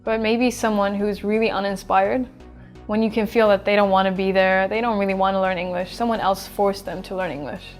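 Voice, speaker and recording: A woman's voice: mid-range voice, a little fast, soft background music. Chatty young woman from Sweden. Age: 20s. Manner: relaxed, chatty, thinks aloud with fillers. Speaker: English teacher being interviewed. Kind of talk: casual interview answers.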